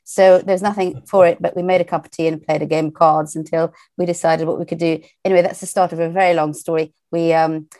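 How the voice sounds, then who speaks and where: A woman with a British accent and a low voice tells stories as an interview guest. She talks chattily, with plenty of fillers and laughter. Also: flat delivery, fast talker, few pauses, darkish tone. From Portugal. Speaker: guest, entrepreneur. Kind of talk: casual interview storytelling.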